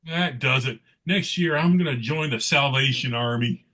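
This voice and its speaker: A man's voice: medium pitch, normal speed, a bit bright, lightly acted. A man playing a grouchy, put-upon department store Santa in a comic-book table read. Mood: exasperated. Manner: griping, exasperated.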